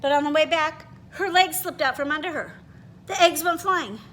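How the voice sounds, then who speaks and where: Woman, picture book read-aloud, expressive, high-pitched, engages listeners with questions, slight background noise. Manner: animated, pauses to ask listeners questions.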